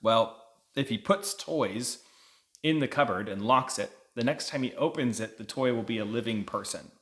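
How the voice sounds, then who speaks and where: A man's voice: mid-range voice, moderate pace, fairly bright tone. A man giving a casual, conversational book review, explaining things as a vlog co-host.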